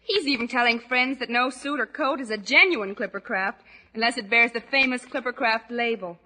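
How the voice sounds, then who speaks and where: Bright, highish American woman in advertisement. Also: moderate pace. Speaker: advertising testimonial speaker. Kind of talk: scripted 1940s radio advertisement.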